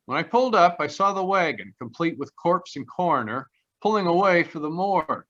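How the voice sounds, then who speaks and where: Cocky radio private eye, played by a man: highish, forceful, wisecracking, slightly muffled call sound. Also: expressive, a little fast. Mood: wry narration. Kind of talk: old-time radio noir narration and dialogue. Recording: dull online video-call audio.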